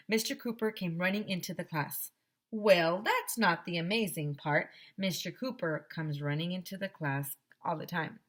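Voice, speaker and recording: A middle-aged woman reading a children's book aloud like a teacher, expressively and deliberately, with little asides. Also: lowish voice, a bit bright. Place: small decorated home classroom, indoors. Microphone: headset microphone.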